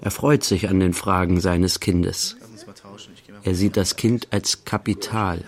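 An actor playing the narrator of a literary radio play, observing dryly in short, clipped phrases. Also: male voice, sits low, fairly even intonation, slow pace. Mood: wry, observational. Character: dry male narrator observing an artist's life.

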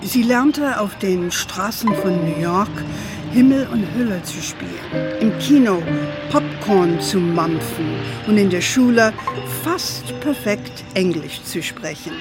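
Slow-paced old grandmother, gentle, wily, storytelling manner, children's audio drama, music behind. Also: mid-range voice, animated. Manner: unhurried storyteller, sprinkles in English endearments. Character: gentle, wily old grandmother who tells family stories. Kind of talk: German children's radio play storytelling.

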